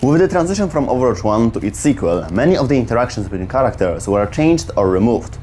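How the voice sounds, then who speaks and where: A man's voice: mid-range voice, very animated, quick pace, warm tone. A man narrating a scripted video game lore explainer as its host.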